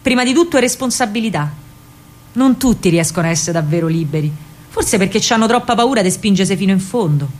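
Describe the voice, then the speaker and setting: A woman performs an older Roman man in a radio drama. He is an earnest, conspiratorial anti-fascist mentor. He speaks deliberately and gravely, exhorting with a thick Roman accent. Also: mid-range voice, lively intonation, darkish tone, lightly acted. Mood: grave, confiding. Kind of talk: theatrical monologue, radio drama.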